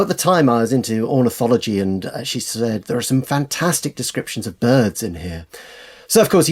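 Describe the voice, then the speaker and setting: A man's voice: mid-range voice, a little mellow. A man with a British accent speaking as a scholarly interview guest, telling stories with enthusiasm and hesitant, repeated words. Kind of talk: conversational interview, storytelling.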